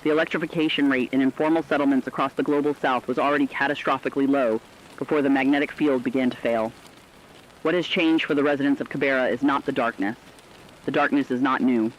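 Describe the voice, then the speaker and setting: A man playing a reflective, compassionate radio correspondent, delivering a scripted essay in an earnest, deliberate way. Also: mid-range voice, highs cut off, slight background noise, close to an everyday voice. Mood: earnest, somber. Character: reflective, compassionate male radio correspondent during a global crisis. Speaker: radio commentator.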